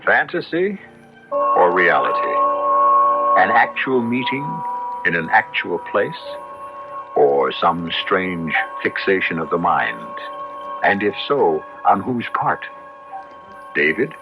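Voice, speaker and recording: An actor playing an ominous storyteller hosting a radio mystery, portentous and full of rhetorical weight. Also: male voice, low voice, huge pitch swings, slow, long pauses, full character voice. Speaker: host and narrator of a mystery radio series. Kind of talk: 1970s radio horror narration. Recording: dull old radio broadcast recording. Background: dramatic music.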